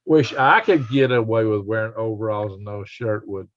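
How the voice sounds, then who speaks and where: An older man with a raspy voice chatting casually and folksily as he hosts a live stream and reads out comments. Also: fairly low pitch, normal speed. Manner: folksy, rambling, chuckling country talk. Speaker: livestream host. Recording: dull lo-fi livestream audio.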